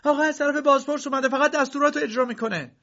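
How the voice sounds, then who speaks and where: A man's voice: sing-song, vocal fry, darkish tone, breathy edge, full character voice. A man voicing an anxious young woman who pleads in an urgent tone, on a dull recording. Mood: alarmed, urgent. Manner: pleading, urgent, high-pitched.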